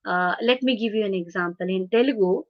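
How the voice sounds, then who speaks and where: A woman from India with an Indian English accent and Marathi as her first language, lecturing on translation. She talks haltingly, with many fillers and restarts. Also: mid-range voice, muffled recording. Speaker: webinar speaker on translation. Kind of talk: lecture with audience questions. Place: video call webinar.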